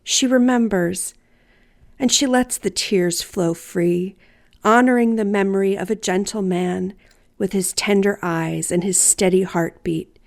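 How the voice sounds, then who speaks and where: A woman storyteller reading a personal story aloud in a deliberate, theatrical, wryly humorous way. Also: medium pitch, steady tone, vocal fry. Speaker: writer and storyteller.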